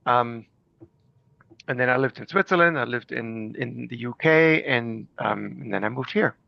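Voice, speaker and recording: A man's voice: mid-range voice, unhurried, creaky, no echo, muffled recording. A man from Germany explaining things conversationally through personal anecdotes. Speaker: group call participant.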